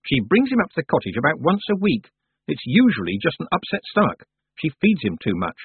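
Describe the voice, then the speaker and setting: A man's voice: on the high side, brisk, slightly rough, no room sound, pitched above the performer's own voice, exaggerated voice. Tiffany, a firm, sensible young witch voiced by a man. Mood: reproachful. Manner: calm, firm, reproachful. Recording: dry, tinny phone-like sound.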